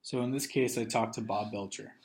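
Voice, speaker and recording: A man giving step-by-step instructional narration as a software trainer, in a methodical way. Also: on the low side, steady tone, fairly quick.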